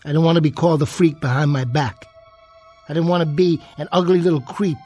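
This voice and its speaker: Casting: male actor as undersized American jockey, high voice, slangy, defiant and boastful, radio drama with music behind. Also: steady tone, fairly quick, dark tone, natural voice. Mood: bitter, wounded. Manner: streetwise slangy tough talk, defiant. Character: bitter, boastful small man, a disgraced jockey.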